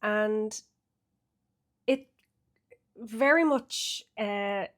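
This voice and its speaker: A woman's voice: mid-range voice, very slow. An Irish woman getting over a cold and a bit sniffly, chatting casually through a weekly tarot reading with many fillers. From Ireland. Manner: chatty, thinks aloud with fillers. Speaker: tarot reader.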